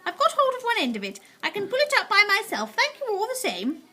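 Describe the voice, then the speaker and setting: A woman doing a high, tender old grandmother. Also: wildly swooping pitch, fast, pushed and bright, heavily acted. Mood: calm. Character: warm brave elderly grandmother.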